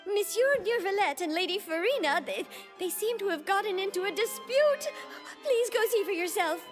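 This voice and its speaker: A woman's voice: extremely high pitch, animated, moderate pace, faint music behind. An actress playing a small, anxious female attendant in a video game, polite, urgent and flustered.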